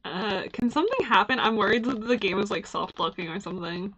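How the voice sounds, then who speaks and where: A woman's voice: medium pitch, animated, brisk, fairly bright tone, breathy edge, lo-fi sound. A young Scottish woman from Glasgow streaming a game, chatting in a rambling way, laughing often and reading the on-screen text aloud. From Glasgow, Scotland.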